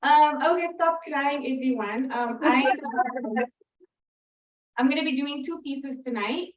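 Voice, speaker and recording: A woman's voice: high-pitched, slow. A woman from South Africa, a poet, chatting with an audience before her reading in an informal, giggly, emotional way. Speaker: poet reading at a literary event. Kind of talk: warm, chatty stage talk to an audience. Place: reading event room with audience. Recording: echoey room, far from mic, dull sound.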